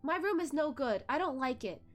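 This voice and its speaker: A woman's voice: sits high, lively intonation, normal speed, faint music behind, voice pushed up. A woman voicing a playful, clingy young male disciple who wheedles and pleads in a light, teasing way. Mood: wheedling.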